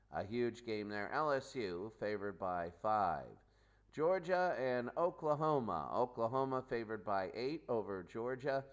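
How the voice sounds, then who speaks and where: Male sports host, mid-pitched and unhurried, talking through matchups off the cuff and circling back over his points. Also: smooth. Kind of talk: unscripted sports pregame commentary.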